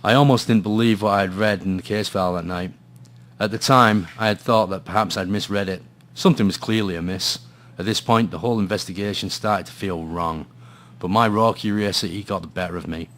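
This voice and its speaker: A low-pitched Scottish detective acted by a man from a noir radio thriller. He is world-weary and dry, speaking with understatement, as if brooding over a grim case. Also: a little fast, fairly bright tone, natural voice. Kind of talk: noir radio drama, dialogue and brooding first-person narration.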